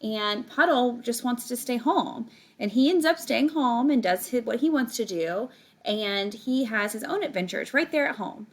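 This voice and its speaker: A woman's voice: fairly high pitch, normal speed. A woman reading a picture book aloud to children like a teacher, stretching words and adding casual explaining asides to her young listeners. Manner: animated storytelling, stretches words, chats directly to young listeners.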